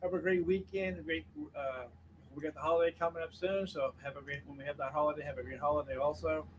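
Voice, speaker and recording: A soft-spoken man co-hosting a casual movie review podcast, talking quietly. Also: a little high, fairly even intonation, quick pace, a little hiss or hum, poor audio. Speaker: podcast co-host.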